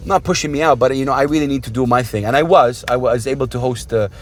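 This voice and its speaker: A man from Saudi Arabia hosting a casual interview in a car, rambling and warm as he talks about his past. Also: mid-range voice, fast talker. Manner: rambling, warm, restarts often. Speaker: podcast host. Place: inside a car. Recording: car interior with music behind.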